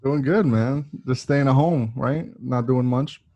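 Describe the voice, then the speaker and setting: A man's voice: medium pitch, measured pace, dark tone, dry recording. A man hosting a casual podcast interview, easygoing and laughing along with his guest. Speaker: podcast host.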